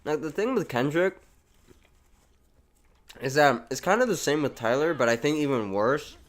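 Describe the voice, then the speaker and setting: A man's voice: on the high side, animated, moderate pace. A young American man chatting loosely on a live gameplay stream, rambling in slang with lots of restarts while reading chat. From United States. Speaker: livestreamer.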